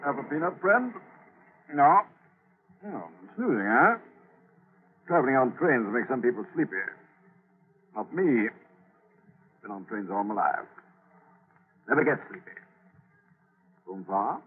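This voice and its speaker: A man's voice: medium pitch, big pitch swings, measured pace, pauses often, husky. An actor playing a chatty, pushy travelling salesman, a jolly folksy pest who talks in clipped phrases and repeats himself, in 1940s radio style. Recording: thin, phone-like 1940s radio recording.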